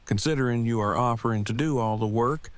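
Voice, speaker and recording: A man playing an earnest, bookish, devoted husband in an audio drama, speaking in formal, wordy, measured phrases. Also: medium pitch, no echo, lightly acted. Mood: reassuring. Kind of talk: fan audio drama acting.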